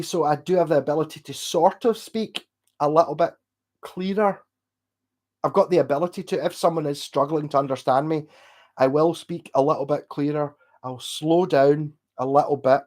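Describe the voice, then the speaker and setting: A man's voice: a little high, darkish tone. A middle-aged man with a Glasgow accent chatting casually and at length, rambling through stories and reading out messages. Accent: Glasgow Scottish. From Scotland. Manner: rambling, chatty, swears and laughs, slows down when asked. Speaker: streamer reading chat. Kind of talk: casual chat.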